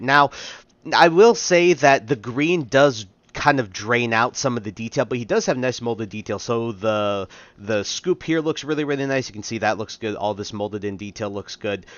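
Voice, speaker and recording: A man's voice: mid-range voice, fairly bright tone, dry recording, muffled recording. A man with a slightly nasal, congested voice hosting a casual toy review at home, chatty and upbeat. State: congested, getting over a cold. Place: home recording room.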